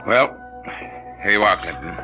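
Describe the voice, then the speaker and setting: An actor playing a concerned army major in a 1950s radio drama, speaking in a measured, grave way. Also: male voice, deep voice, expressive, lo-fi sound, over music.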